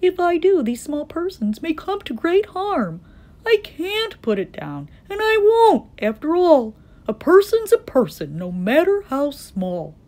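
A gentle, protective male elephant from a children's storybook, played by a woman. The voice is earnest and emphatic, often lifting high with wide swings of pitch. He pleads sincerely for those smaller than himself. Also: sing-song, measured pace. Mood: worried, determined.

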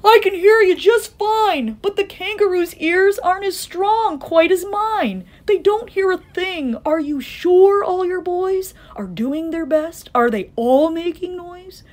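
A woman's voice: medium pitch, very animated, slow pace, few pauses, dark tone. A woman voicing an earnest, loyal, big-hearted male elephant in a storybook, emphatic and pleading. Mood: urgent.

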